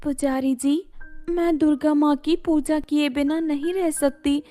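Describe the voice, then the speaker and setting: A woman's voice: high-pitched, steady tone, measured pace, mellow, faint music behind, pitched above the performer's own voice. A woman voicing a poor, devout young beggar woman in a story, meek and pleading.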